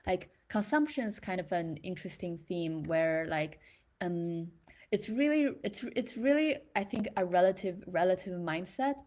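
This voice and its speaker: A young woman from China speaks in a thoughtful, casual way. She often pauses with fillers and restarts her sentences as she works out her ideas. Her voice sits on the low side. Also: darkish tone. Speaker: creator in residency. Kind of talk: reflective casual talk.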